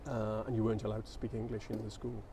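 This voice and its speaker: Man, Welsh accent, first language Welsh, low pitch, measured interview answers. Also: level tone, vocal fry, far from the mic, background noise. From South Wales. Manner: measured, explains with frequent restarts. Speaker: guest. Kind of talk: casual interview conversation.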